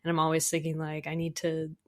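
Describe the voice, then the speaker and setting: A woman with a fairly low voice talks casually as an interview guest. She is a composer, and her delivery is informal and enthusiastic. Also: monotone, fast talker. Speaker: guest, composer for audio dramas.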